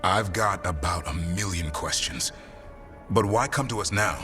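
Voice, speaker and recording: An actor playing a pragmatic, steady warrior in a fantasy game, speaking directly and matter-of-factly. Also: male voice, deep voice, unhurried, faint music behind. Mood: reassuring. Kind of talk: game dialogue acting.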